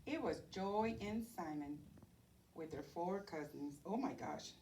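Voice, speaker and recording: A woman reading a picture book aloud to young children as a teacher, expressive and sing-song, inviting listeners to join in. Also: fairly low pitch, measured pace. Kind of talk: children's read-aloud storytime. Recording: distant mic, faint background noise.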